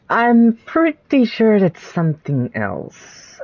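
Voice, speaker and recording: A person reads game character dialogue with gentle concern. The delivery is unhurried, hesitant and questioning, with animated swings in pitch. The recording sounds slightly dull and lo-fi.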